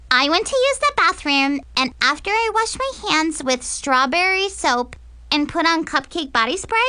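A plucky, mischievous little girl. The voice is squeaky and bright, childish and full of emphasis, with big swings in pitch. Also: no room sound, heavily acted.

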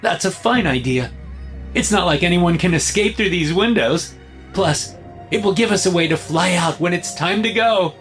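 A breezy, chilling female hostage-taker in an audiobook, voiced by a male narrator. The voice is high and lilting, falsely sweet and glib, giving orders with cool command over music. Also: expressive, moderate pace, a bit bright. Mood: mocking sweetness. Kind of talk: audiobook character acting.

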